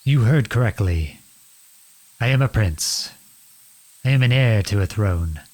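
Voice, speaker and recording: A man playing a noble runaway outlaw prince in a dramatic audio roleplay, earnest and imploring, with a husky voice. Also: on the low side, expressive, a little slow, darkish tone. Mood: confessional, remorseful. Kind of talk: dramatic ASMR roleplay acting.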